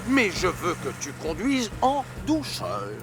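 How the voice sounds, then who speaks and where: An actor voicing a fussy, bossy fire chief with a gravelly voice in a French cartoon dub. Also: male voice, high-pitched, wildly swooping pitch, slow, noisy background, heavily acted. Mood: brisk, bossy. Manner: pompous, bossy. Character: fussy commanding adult male fire chief. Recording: music behind the voice.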